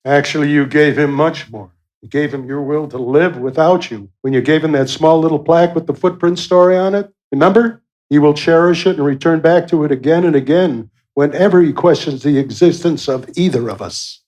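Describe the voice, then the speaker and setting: An actor in a radio play playing a wry, affectionate God, folksy and teasing as he gently chides. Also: fairly high pitch, brisk, natural voice, low-quality recording. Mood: earnest, gentle.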